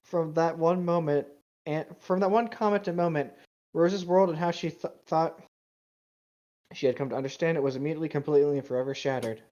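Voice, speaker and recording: A woman's voice: low-pitched, a little fast, mellow, lo-fi sound. A woman co-hosting a casual comedic fan fiction reading, teasing and swearing sarcastically.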